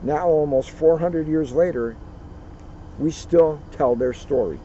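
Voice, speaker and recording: Muffled, bright-voiced male storyteller outdoors. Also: on the high side, measured pace. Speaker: police officer reading a children's book. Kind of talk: read-aloud storytelling with casual asides. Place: outdoors at a turkey farm. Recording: muffled outdoor recording, faint vehicle noise.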